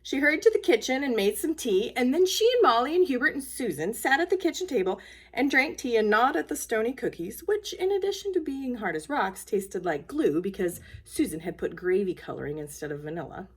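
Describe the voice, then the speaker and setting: A woman storyteller reading a children's book aloud in an expressive, emphatic way. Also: a little high, brisk, slightly rough.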